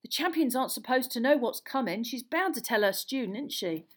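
A woman voicing a brisk, frank young male dragon keeper, emphatic and colloquial, in an audiobook. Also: on the high side, lively intonation, pitched above the performer's own voice. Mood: concerned. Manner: emphatic, matter-of-fact, colloquial. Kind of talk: audiobook character voice.

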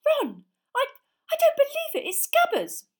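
A woman voicing a clever, tearful teenage girl in a storybook reading, pleading in a high, strained voice. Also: expressive, measured pace. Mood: distraught.

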